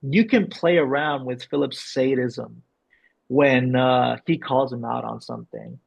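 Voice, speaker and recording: A man working as a director, explaining and reading out a script, with a gravelly, husky voice. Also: mid-range voice, a little slow. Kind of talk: explanatory coaching and script narration. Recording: dull, lo-fi sound.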